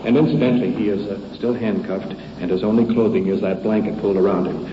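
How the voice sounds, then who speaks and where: A man's voice: fairly low pitch, fast talker. An American man reporting on location with police as a 1950s radio documentary narrator, hesitantly piecing his account together as he goes. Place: on location with police, vehicle and ambience noise. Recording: muffled, very noisy 1950s field radio recording.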